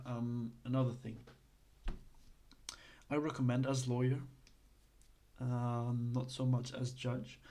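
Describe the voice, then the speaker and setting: A man's voice: mid-range voice, mellow. A man from Belgium, giving a casual, hesitant lesson full of fillers and restarts. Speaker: instructor.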